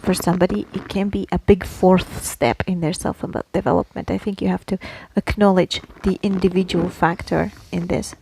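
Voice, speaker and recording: A woman's voice: lowish voice, steady tone, normal speed, creaky, hardly any pauses, warm tone, a little hiss or hum, rough recording. A woman co-hosting a casual podcast, explaining her points in a playfully argumentative way. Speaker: podcast co-host. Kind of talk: casual conversational podcast talk.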